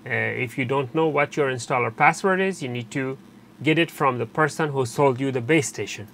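A man narrating an unscripted step-by-step technical tutorial in a halting, explanatory way, repeating and rephrasing as he goes. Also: mid-range voice, vocal fry. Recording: steady background noise, room ambience.

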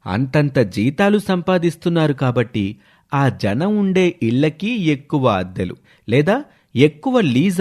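A man delivers scripted story narration as the storyteller. His voice sits at a middle pitch, presenting the tale to listeners. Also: darkish tone.

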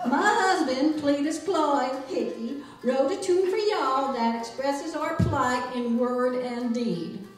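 A woman's voice: high voice, distant mic. A woman playing a cantankerous, folksy farm wife with rustic grammar in an old-time radio comedy skit. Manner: drawling rustic grammar, mock-earnest. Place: small library room, on stage. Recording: echoey room, picked up from afar.

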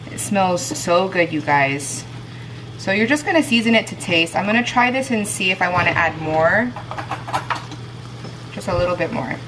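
A woman's voice: fairly low pitch, lively intonation, moderate pace, pauses often, background noise. A woman chatting through her weekly meal prep, explaining each step to viewers as she cooks in her home kitchen. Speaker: cooking vlogger. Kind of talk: casual meal prep narration.

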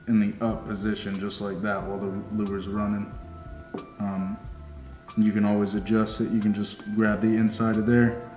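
A young man working as a fishing deckhand calmly walks through a hands-on demonstration step by step, often saying "just like so" as he works. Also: fairly low pitch. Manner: calm, unhurried, repeats "just like so" while working with his hands. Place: small indoor tackle shop workbench. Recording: roomy sound with music underneath.

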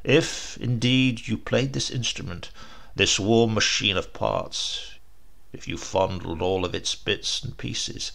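A man narrating a scripted story as an audiobook reader, with deliberate, theatrical phrasing. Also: fairly low pitch, vocal fry, a bit bright.

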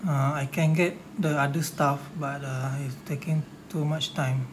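A woman's voice: deep voice, steady tone, moderate pace. A woman, casually commenting on a game in short muttered phrases, on a noisy recording. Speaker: gamer doing a walkthrough. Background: game sounds.

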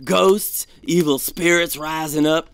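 A man's voice: fairly high pitch, fairly even intonation, slow pace, fairly bright tone, a little hiss or hum. A man playing a fearful, superstitious gold prospector with a folksy, haunted delivery in an audio drama. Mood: ominous.

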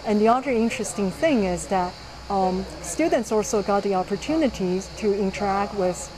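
A middle-aged woman physics professor being interviewed, speaking in a measured, explanatory way with frequent fillers. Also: medium pitch, crowd noise. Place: indoors by a whiteboard. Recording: noisy background.

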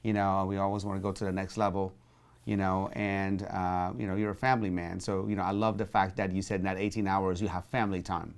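A male podcast host with a low, gravelly voice talks quickly and casually, dropping "you know" again and again. He sits some distance from the mic in an indoor studio, so his voice sounds distant. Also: flat delivery. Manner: agreeable, repeats "you know" often. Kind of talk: casual interview chat. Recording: heard from far off the mic.

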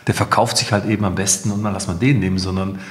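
A man's voice: sits low, steady tone, fast. A middle-aged man talking conversationally as an interview guest. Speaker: company co-founder, interview guest. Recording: echoey room.

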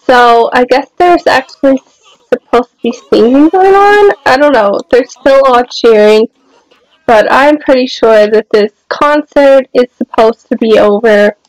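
A woman's voice: fairly high pitch, a little slow, no echo, low-quality recording. A young woman with a nasal voice from a cold, chatting casually over her game with many fillers and hesitations. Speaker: let's-play host.

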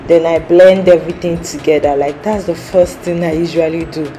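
A woman's voice: on the low side, steady tone, normal speed, dark tone, slight background noise. A young Nigerian woman, a native Yoruba speaker, chatting casually to her viewers as a food market vlogger. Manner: chatty, addresses viewers directly. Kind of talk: casual vlog narration.

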